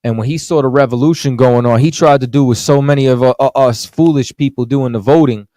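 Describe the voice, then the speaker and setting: A man's voice: medium pitch, quick pace, mellow, no echo. Man, casual podcast guest, rambling filler-heavy talk, dry close mic. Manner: loose, digressive talk full of "you know what I'm saying".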